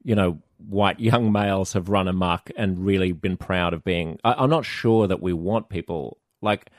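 A middle-aged man with a very low voice hosts a conversational podcast interview. He reasons aloud, hesitating and restarting as he thinks. The sound is dry and close. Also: normal speed, no echo. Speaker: podcast host.

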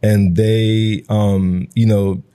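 Soft-toned Nigerian-born man reflecting. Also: lowish voice, level tone, drawn-out words, clean tone. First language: Igbo. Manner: reflective, loose, full of "like" and "you know". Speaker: guest. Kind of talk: conversational interview.

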